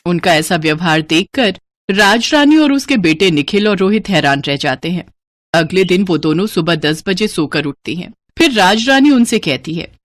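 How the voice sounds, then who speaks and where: A woman narrating a scripted story in a dramatic storytelling style. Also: lowish voice.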